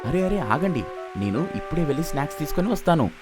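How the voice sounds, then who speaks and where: A cheerful, caring cartoon dad played by an actor, with very lively intonation. He addresses the kids in an animated way, with soft music underneath. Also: mid-range voice, fast, natural voice.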